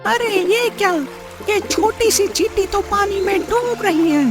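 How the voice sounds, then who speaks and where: A woman's voice: very high-pitched, unhurried, over music. A woman voicing a caring, helpful male pigeon in a cartoon story for children. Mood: concerned.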